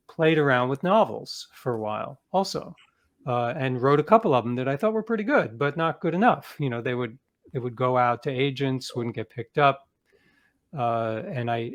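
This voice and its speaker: Middle-aged man telling his story in conversation. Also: mid-range voice. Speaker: guest, author and podcast producer. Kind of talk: interview storytelling, reflective monologue.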